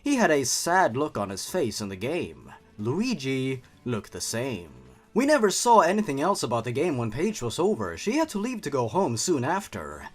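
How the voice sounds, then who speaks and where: A man's voice: medium pitch, huge pitch swings, normal speed, few pauses, slightly rough, faint music behind. A man reading a horror story aloud theatrically, breaking off for sarcastic, mocking asides.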